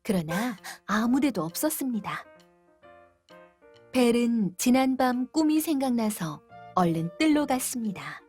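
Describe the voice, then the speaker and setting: A woman narrating a children's fairytale in a clear, gentle read-aloud manner. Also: fairly high pitch, animated, a little slow, soft background music. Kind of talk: scripted storybook narration.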